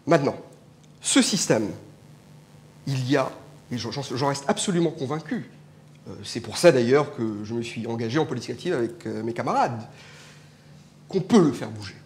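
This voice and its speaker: Man debating on stage, lively pitch, emphatic and argumentative, faint room noise. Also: normal speed, long pauses. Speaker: political scientist and politician. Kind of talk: panel debate. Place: indoor public event.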